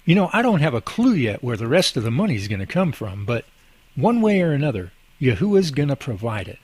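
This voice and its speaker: A man's voice: medium pitch, very animated, a little fast, a little mellow, breathy edge, no room sound. A man acting a cheerful, devout working husband in an amateur radio drama, with a folksy, good-humored manner. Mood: hopeful.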